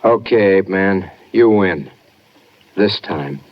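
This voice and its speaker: A man's voice: low-pitched, lively intonation, slow pace. An actor playing a wisecracking, streetwise tough guy with a wry, slangy manner in a 1950s radio drama. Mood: irritated, wry. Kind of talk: 1950s hard-boiled radio drama acting. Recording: noisy muffled 1950s radio recording.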